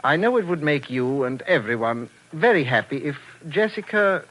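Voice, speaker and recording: An actor playing a suave, domineering husband in a 1940s radio drama, smooth and patronizing with false tenderness. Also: male voice, fairly high pitch, very animated, moderate pace, a bit bright, low-quality recording. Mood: scheming. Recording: old 1940s radio recording, music behind.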